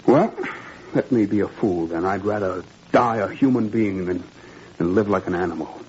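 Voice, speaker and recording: A man's voice: deep voice, normal speed, a little mellow, lightly acted. A man playing an idealistic, troubled father in a 1940s radio drama, earnest and probing with wry irony. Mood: bitter, resolute. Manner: probing, earnest, wryly ironic. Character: idealistic French father, troubled, principled, quietly ironic. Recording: muffled, noisy old radio recording.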